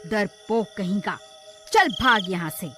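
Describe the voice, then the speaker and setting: A woman's voice: mid-range voice, lively intonation, unhurried, over music, close to an everyday voice. A woman voicing a bold, devoted village wife in a cartoon story, with an emotional, exclaiming delivery. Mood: defiant.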